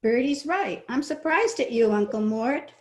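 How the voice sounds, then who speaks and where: A woman's voice: a little high, animated, measured pace. A woman playing a pert, opinionated teenage niece in an old-time radio comedy, challenging her elders. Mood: indignant. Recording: roomy remote home recording.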